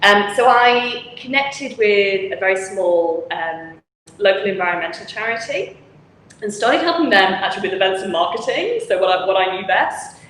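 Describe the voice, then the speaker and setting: A woman's voice: medium pitch, animated, normal speed, fairly bright tone. A woman from Manchester, a charity founder speaking frankly and chattily as an interview guest over a video call. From Manchester, England. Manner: frank, chatty, laughs often. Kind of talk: conversational interview. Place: charity office with volunteer lockers. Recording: echoey room with faint noise.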